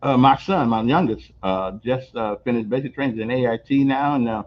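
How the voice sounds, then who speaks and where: An older man hosting a casual on-location interview, telling stories in an animated way, repeating words and laughing as he goes. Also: mid-range voice, brisk. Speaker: host. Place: on location at a veterans center. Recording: dull lo-fi recording.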